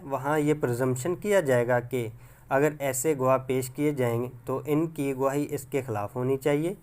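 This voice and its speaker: A man with a Pakistani accent reads a scripted legal lecture as a teacher, in a formal, measured manner, mixing in English legal terms. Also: mid-range voice, a little mellow. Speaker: law lecturer.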